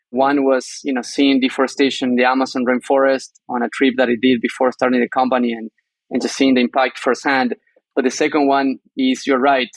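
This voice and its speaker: A man's voice: medium pitch, no room sound, poor audio. A man from Argentina with an Argentine Spanish accent, explaining things as an interview guest. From northern Argentina. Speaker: startup co-founder, interview guest.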